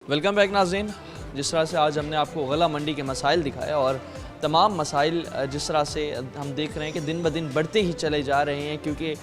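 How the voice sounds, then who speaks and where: A male news anchor with a somewhat high voice. He reports in an earnest, drawn-out way and stops often on hesitant fillers. Clear music plays behind him. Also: fairly even intonation. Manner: earnest, drawn-out delivery with many filler pauses. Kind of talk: formal news reporting and studio interview.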